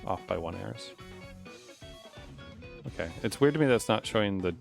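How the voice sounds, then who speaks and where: Low-voiced man thinking aloud on stream. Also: animated, normal speed, vocal fry, faint music behind. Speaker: live streamer coding a game. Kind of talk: casual unscripted coding stream commentary.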